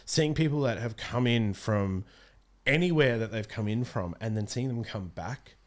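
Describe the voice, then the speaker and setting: A man's voice: medium pitch, normal speed. A young man speaking casually as a guest in an interview. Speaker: guest, amateur voice actor and streamer. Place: small home streaming room, indoors.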